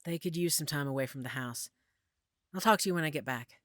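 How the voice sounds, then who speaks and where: An actress playing a calm, kind werewolf mother who leads the pack, speaking briefly in a motherly way. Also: sits low, quick pace, no echo, clean studio sound, lightly acted.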